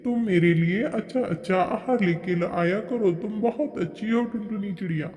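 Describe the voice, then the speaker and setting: A man's voice: sits high, moderate pace, a little mellow, close to an everyday voice. A man voicing a tired old male eagle in a cartoon, grumbling and commanding. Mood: kindly. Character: tired old male eagle, gruff yet kindly, demanding. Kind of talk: Hindi moral-story cartoon voice acting. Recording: long hall reverb.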